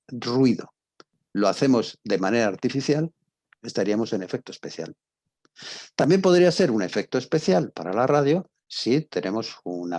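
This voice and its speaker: A middle-aged man with a Spanish accent teaches in a lowish voice, recorded close and fairly dry. He explains didactically, repeating phrases and leaving pauses around the words he wants to stress. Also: a little mellow, no room sound. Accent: Spanish from Spain. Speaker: university lecturer in radio drama. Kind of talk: informal lecture with examples.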